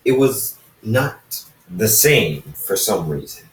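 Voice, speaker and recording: A man reading fan fiction aloud as an amateur narrator, halting and often going back to repeat phrases. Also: on the low side, sing-song. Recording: roomy echo, distant from the mic.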